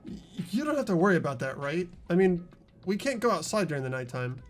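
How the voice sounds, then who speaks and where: A man's voice: sits high, big pitch swings, quick pace, soft background music. A man voicing an earnest, anxious teenage schoolboy with a hesitant, stammering delivery in a fan-voiced game. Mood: worried. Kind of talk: amateur dub of a visual novel game.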